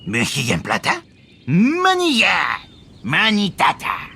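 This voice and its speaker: An actor voicing a gruff but cheerful goblin-like game monster that babbles gibberish in a gravelly voice. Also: medium pitch, huge pitch swings, unhurried, pushed and bright, a little hiss or hum, full character voice. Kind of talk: English video game creature voice.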